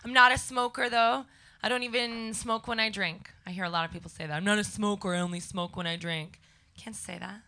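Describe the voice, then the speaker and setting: A young Canadian woman with a scratchy voice doing a deadpan stand-up set before a live audience. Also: medium pitch, fairly quick. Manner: deadpan one-liners to a crowd. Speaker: stand-up comedian guest.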